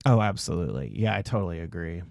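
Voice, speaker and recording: A man's voice: deep voice, animated, fast, creaky, a little mellow, no echo, clean studio sound. A young man hosting an interview, asking questions and recalling stories in a chatty, friendly way full of fillers. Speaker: interviewer host. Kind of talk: casual interview conversation.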